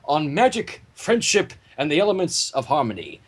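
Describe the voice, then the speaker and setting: A man with a fairly high, husky voice reads aloud in a theatrical way. He drops out of the story into casual stream chat, keeping the delivery animated and varied in pitch. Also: moderate pace. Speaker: fan fiction reader and livestream host. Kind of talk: expressive story reading mixed with casual livestream banter.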